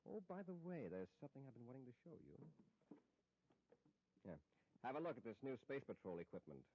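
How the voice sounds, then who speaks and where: An actor playing a steady, authoritative space patrol commander in old radio serial style, explaining with assurance. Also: male voice, mid-range voice, brisk, a little hiss or hum, close to an everyday voice. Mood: assured, instructive. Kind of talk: old radio drama acting. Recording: dull, dry old radio recording.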